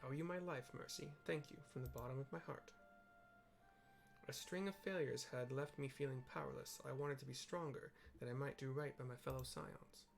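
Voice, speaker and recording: A sincere, humbled hero from a fantasy game, read aloud by a man, with a creaky mid-range voice. He pledges himself formally and from the heart, over faint music. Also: medium pitch, steady tone, a little fast, natural voice. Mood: contrite, resolute.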